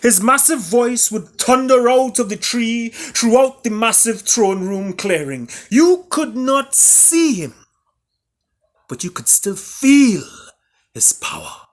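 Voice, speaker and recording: A man with a Trinidadian accent, an author and storyteller speaking theatrically, with booming emphasis and hushed drops. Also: big pitch swings, takes it slowly. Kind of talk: dramatic storybook reading and introduction.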